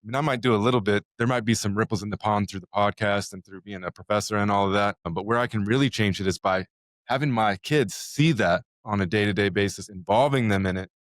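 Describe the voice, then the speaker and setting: Low-voiced earnest male host, dry sound. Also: fast, dry recording. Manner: earnest and reflective, many "you know"s. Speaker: podcast host and professor. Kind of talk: casual conversation. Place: live recording at a conference.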